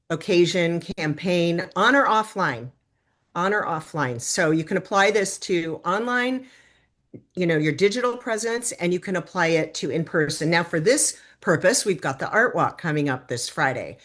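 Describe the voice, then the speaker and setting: An American woman from the Detroit area leading an online workshop in a chatty manner, often asking her audience questions over a video call. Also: lowish voice. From Detroit area, United States. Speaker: workshop leader and business consultant. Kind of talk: conversational online workshop talk.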